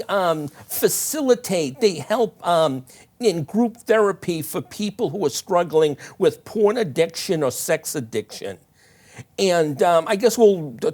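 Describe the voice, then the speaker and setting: A man hosting a talk show, introducing his guest in a halting, folksy, casual way. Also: a little high, a little mellow.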